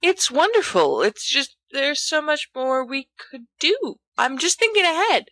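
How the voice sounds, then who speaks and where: A woman's voice: high voice, very animated, measured pace, a bit bright, dry recording, pitched above the performer's own voice, full character voice. A woman voicing a cautious, earnest, bookish schoolboy wizard in a storybook reading, objecting carefully.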